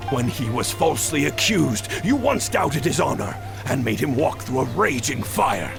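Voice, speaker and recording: Old wise counselor, video-game stage drama, grave, declamatory, gravelly, music behind. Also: male voice, mid-range voice, very animated, normal speed. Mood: indignant, pleading. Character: noble old general, wise, pleading royal counselor. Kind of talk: theatrical stage-play acting in a video game.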